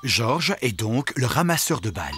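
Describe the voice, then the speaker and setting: A man's voice: mid-range voice, big pitch swings, moderate pace, close to an everyday voice. A man playing the cheerful storyteller narrator of a French-dubbed preschool cartoon, reading scripted children's narration. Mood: cheerful explaining. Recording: loud cartoon music behind.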